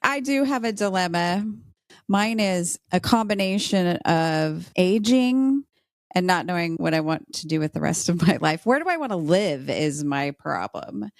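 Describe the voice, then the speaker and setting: A middle-aged American woman with a fairly low voice and plenty of vocal fry. She talks in a candid, reflective way on a casual podcast, recorded dry and close. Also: expressive, a little slow, no room sound. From United States. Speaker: podcast host. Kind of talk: casual conversational podcast chat.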